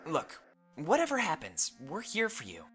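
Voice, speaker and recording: A woman's voice: low voice, huge pitch swings, normal speed, slightly rough, faint music behind, exaggerated voice. A woman playing a blunt, protective, spirited young furry companion in a visual novel game. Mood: reassuring.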